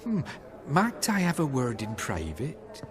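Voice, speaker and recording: A man's voice: mid-range voice, wildly swooping pitch, slow pace. A man playing an affected, courteous art connoisseur in a fantasy game, with florid, genteel and slightly condescending politeness. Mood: confiding, courteous.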